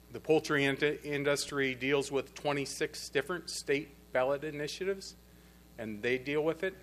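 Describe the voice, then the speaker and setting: A man's voice: medium pitch, fairly bright tone, a little hiss or hum, rough recording. A man speaking at a conference panel, presenting and urging his listeners in a plain-spoken, earnest way. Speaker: conference presenter on the pork industry. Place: conference hall.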